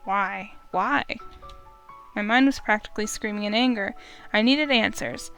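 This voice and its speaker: A woman's voice: mid-range voice, measured pace, fairly bright tone, faint music behind. A woman narrating a visual novel in first person, emotive and urgent.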